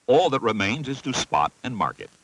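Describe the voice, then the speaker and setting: An American man narrating a scripted instructional film in a clear, methodical, teacherly manner. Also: medium pitch, sing-song, normal speed, fairly bright tone, low-quality recording. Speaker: narrator of a military training film. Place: voice-over recording.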